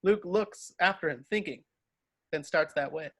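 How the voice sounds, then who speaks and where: A man's voice: on the high side, a little slow. A man reading stage directions as the narrator of an amateur screenplay table read.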